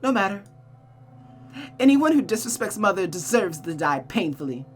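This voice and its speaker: A woman's voice: medium pitch, big pitch swings, moderate pace, faint music behind, pitched above the performer's own voice, close to an everyday voice. A woman voicing a spiteful, unhinged young woman killer from a visual novel, dramatic, pleading and tearful. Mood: spiteful, smug.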